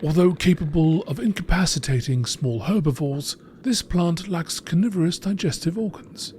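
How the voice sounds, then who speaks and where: A man's voice: fairly high pitch, moderate pace, a little mellow, a little hiss or hum. A man reading scripted entries as a narrator in the style of a nature documentary.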